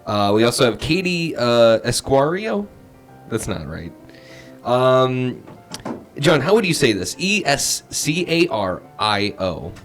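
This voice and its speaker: A man's voice: medium pitch, big pitch swings, takes it slowly. A man co-hosting a casual local radio show from a studio, hesitant and stumbling a little as he goes. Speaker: radio co-host. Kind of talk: casual radio chat and announcements. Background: music bed.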